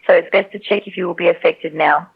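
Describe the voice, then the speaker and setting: A woman's synthetic telemarketing voice on a narrow phone line, politely and persistently reading a scripted sales pitch. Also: steady tone, fast, vocal fry, husky. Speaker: AI robocall telemarketer.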